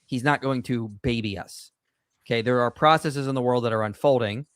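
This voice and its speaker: A man's voice: mid-range voice, fairly quick, fairly bright tone, clean tone, dry recording. Confident male call-in host, dry sound. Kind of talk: apologetics call-in discussion.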